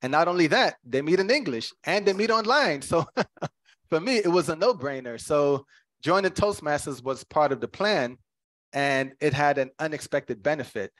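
A man's voice: fairly high pitch, lively intonation, normal speed, fairly bright tone. A man with an American accent gives a conversational impromptu speech on a video call, in a casual, easygoing way. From United States. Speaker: speech contest participant.

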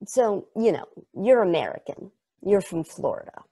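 A young man reading a rambling, excitable probe. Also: high-pitched, normal speed, creaky, breathy edge, heavily acted. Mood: chatty. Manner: enthusiastic, rambling, tangent-prone. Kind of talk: video-call table read. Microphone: video call.

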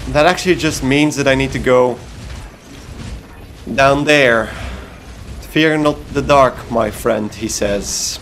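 A man's voice: medium pitch, slight background noise. A man from Belgium giving casual gameplay commentary, talking to himself and swearing freely. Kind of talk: casual blind playthrough commentary.

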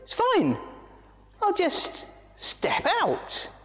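A storyteller voicing a small, panicky male monkey in a high, anxious voice. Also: wildly swooping pitch, drawn-out words, fairly bright tone, heavily acted. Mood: reassuring. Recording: echoey hall reverb.

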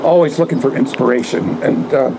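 An older man in his seventies giving a live poetry reading at a microphone outdoors, speaking in deliberate, declamatory short phrases. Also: mid-range voice, background noise. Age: older, 70s. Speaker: poet reading own work. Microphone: microphone on a stand.

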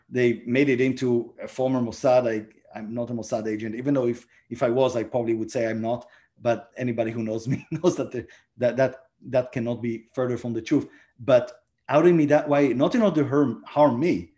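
A man's voice: mid-range voice, mellow. A man in his forties with an Israeli accent, answering interview questions over a video call from home, with many fillers and restarts. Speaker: guest diplomat. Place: home room indoors.

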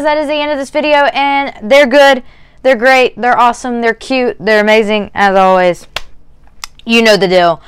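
A young woman making a casual reaction vlog at home, chatty and upbeat, muttering low while she watches, recorded on a lapel mic. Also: smooth, no room sound. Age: young adult. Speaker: YouTube reaction vlogger. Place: bedroom at home, indoors.

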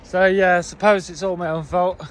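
A man's voice: sits high, little pitch movement, moderate pace. A man in his forties, a farm owner, chatting casually and rambling with restarts and fillers as he walks and films himself on a phone held at arm's length. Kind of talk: casual walk-and-talk vlog. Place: mountain farm, mostly outdoors, partly in a wooden kitchen.

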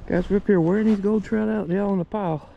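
A man with a high, creaky voice talks in a folksy, exclamatory fishing-vlog style. He is recorded outdoors with clear ambient noise around him. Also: steady tone, fast talker, mellow, highs cut off. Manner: folksy, exclamatory, mild swearing. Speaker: fishing vlogger. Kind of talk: casual excited chat while fishing. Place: outdoors at a trout stream.

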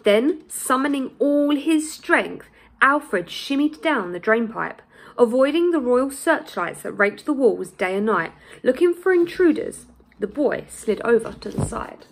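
A young woman reading a children's storybook aloud in an expressive, deliberate way with dramatic emphasis. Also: sits high, gravelly. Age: young adult. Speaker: primary school teacher reading aloud. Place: small indoor room, plain wall.

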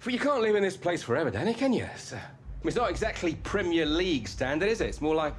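A man's voice: on the high side, very animated, fast talker, a little hiss or hum. A man playing a slick, pushy middle-aged football agent in a video game. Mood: persuasive. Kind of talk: video game cutscene dialogue.

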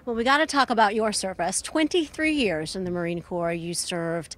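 A woman's voice: medium pitch, moderate pace, fairly bright tone. An American woman in middle age working as a field reporter, doing a conversational live news interview outdoors. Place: outdoors on a golf course. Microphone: handheld reporter microphone.

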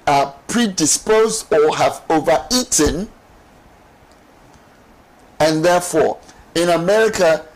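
Talk-show guest: middle-aged man, slightly high pitch, unhurried and deliberate, explaining with emphasis. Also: rough recording. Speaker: studio guest. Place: TV studio lounge set. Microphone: lapel mic.

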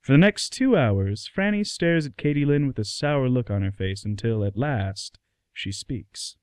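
A man's voice: medium pitch, expressive, a little slow, a little mellow, clear, resonant tone, no echo, clean studio sound. A man narrating a scripted radio play.

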